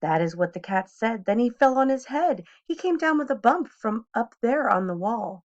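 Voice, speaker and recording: A woman's voice: fairly high pitch, lively intonation, normal speed, lo-fi sound. A woman reading a children's storybook aloud expressively, speaking directly to young listeners as a school staff member would.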